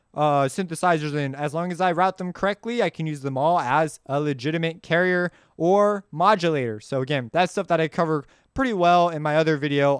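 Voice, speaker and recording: A man hosting a casual music software tutorial, talking in an informal, rambling way and often correcting himself as he goes. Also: fairly high pitch, clear, resonant tone, dry recording, clean studio sound.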